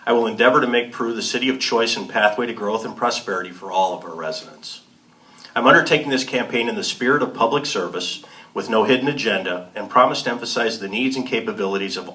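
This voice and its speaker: A man's voice: on the low side, quick pace, sharp tone. A man reading a prepared statement as a mayoral candidate. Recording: distant mic.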